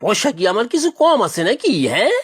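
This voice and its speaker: A woman's voice: high-pitched, sing-song, quick pace, slightly rough, no room sound, studio quality, full character voice. A woman voicing a boastful, inquisitive, well-off older householder with a pompous questioning manner in a children's cartoon. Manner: pompous, questioning with tag words.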